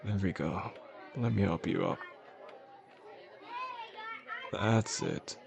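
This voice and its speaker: A man playing a possessive, soft-spoken boss in an intimate audio drama, talking gently and with control straight to the listener in a low, gravelly voice. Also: crowd noise, background noise. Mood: tender, coaxing. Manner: slow, soothing, gentle, controlled. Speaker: voice actor.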